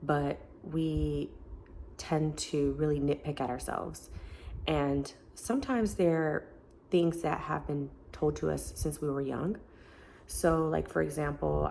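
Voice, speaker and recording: Woman vlogger, low gravelly voice, chatty and encouraging, filler-heavy. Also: a little mellow, slight background noise, low-quality recording. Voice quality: deep voice. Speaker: self-help vlogger. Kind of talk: casual talk to camera. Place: family home, indoors.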